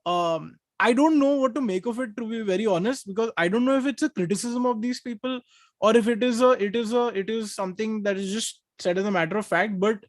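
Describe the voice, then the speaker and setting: A man with an Indian accent and a noticeably high voice talks casually about film as a podcast co-host. He is enthusiastic and rambling, repeating words while he thinks. The sound is very dry, with no room to it. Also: no echo.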